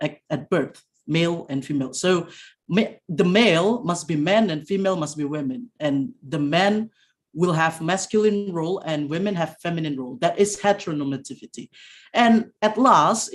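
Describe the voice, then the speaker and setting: A young woman with a very deep voice giving an academic presentation over a video call, halting with frequent fillers and restarts. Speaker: conference presenter.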